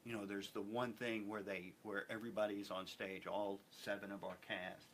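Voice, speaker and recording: A man speaking earnestly as an interview guest, with a deliberate, emphatic way of repeating phrases for effect. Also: on the low side, level tone, a bit bright. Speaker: guest, theater company representative. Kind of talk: earnest panel interview talk. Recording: clearly noisy background.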